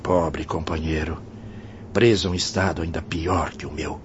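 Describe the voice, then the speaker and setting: A man's voice: very deep voice, fairly even intonation, normal speed. A man acting a remorseful, fearful spirit in a radio drama, with an anguished, dramatic delivery. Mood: sorrowful. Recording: dull lo-fi audio with noise.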